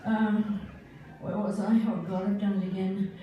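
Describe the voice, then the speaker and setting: A woman's voice: mid-range voice, level tone, normal speed, lo-fi sound, slight background noise. An older woman talking casually and hesitantly as she introduces her live performance, in an informal, rambling way. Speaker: solo performer, writer. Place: live performance venue, indoors. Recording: echoey live venue, far from mic.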